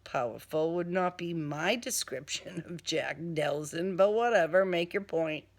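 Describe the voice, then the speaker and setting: A woman's voice: lowish voice, a little slow. A woman playing a blunt, slangy, sarcastic heroine who stands her ground in a crime audiobook. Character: defiant, sarcastic streetwise woman working for police.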